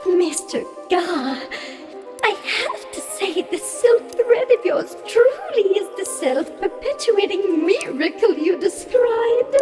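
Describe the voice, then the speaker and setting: A woman's voice: very high voice, sing-song, takes it slowly, full character voice. A woman playing a grand, airy weaver of fate in an audio drama, speaking in a stately, formal way. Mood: stately, admiring. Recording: very echoey space with music.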